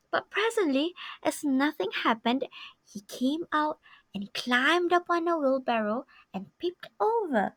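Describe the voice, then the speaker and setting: A high-voiced woman reads a storybook aloud for children. Her delivery is expressive and dramatic, with emphasis placed for young listeners.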